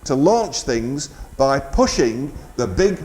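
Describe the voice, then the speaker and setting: A man's voice: medium pitch, big pitch swings, slow, darkish tone. A man presenting live community radio, making a fundraising announcement in an informal, hesitant, bantering way.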